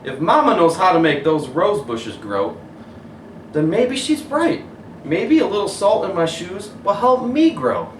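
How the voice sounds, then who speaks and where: An earnest, curious young boy from a storybook, read by a man, downcast yet hopeful. The voice is lifted in pitch and comes from a little way off in an echoey room. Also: big pitch swings, a little slow. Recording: roomy echo, distant mic, faint noise.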